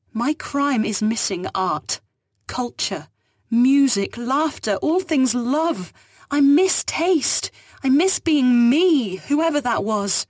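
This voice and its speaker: A woman's voice: medium pitch, darkish tone, husky, no echo, clean studio sound, pitched above the performer's own voice. A woman voicing a frightened, ancient digitized alien woman who pleads for asylum in an afraid, breathless way. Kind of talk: audio story dialogue.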